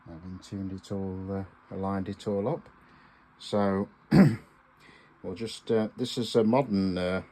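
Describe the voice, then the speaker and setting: A man giving a casual hands-on demonstration as a radio hobbyist host, speaking in a halting, hesitant way with pauses. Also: low-pitched, a little mellow, slight background noise.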